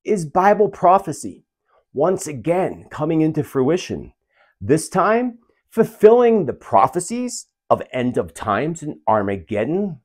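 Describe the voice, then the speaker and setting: A man's voice: a little high, big pitch swings, a little slow, darkish tone. A man hosting his own channel, delivering dramatic prophecy commentary in an emphatic, theatrical way full of rhetorical questions. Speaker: channel host, self-described spiritual medium.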